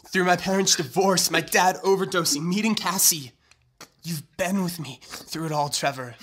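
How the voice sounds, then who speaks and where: A man acting in an audio drama as a doomed young man, loving and resolute, speaking haltingly and earnestly in a breathy, strained voice. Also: sits high, bright, forceful tone, heavily acted.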